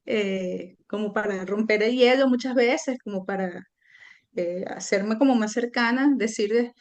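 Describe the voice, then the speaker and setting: A woman's voice: medium pitch, measured pace. A middle-aged woman from Venezuela, a guest recounting her own story in an earnest, reflective way with frequent hesitations. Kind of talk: personal storytelling in an interview.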